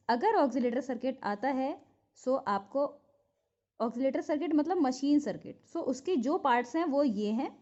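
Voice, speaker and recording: A woman's voice: high-pitched, dark tone. A woman with an Indian accent giving an instructional lecture from notes, explaining and repeating key points to be memorized. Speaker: physiotherapy teacher. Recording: dull lo-fi recording.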